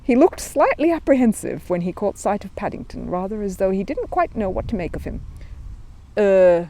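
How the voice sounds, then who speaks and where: Animated woman reading a children's story. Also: medium pitch, a little fast, gravelly. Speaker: storybook reader. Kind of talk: scripted children's story narration.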